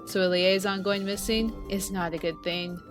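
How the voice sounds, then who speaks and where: A woman's voice: medium pitch, steady tone, normal speed, music in the background, lightly acted. A woman playing a seasoned, friendly vampire hunter who mentors a newcomer in a conversational, teasing way in a fantasy audio roleplay. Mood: explaining, calm. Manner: conversational, explanatory, playful teasing. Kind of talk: scripted fantasy audio roleplay addressed to the listener.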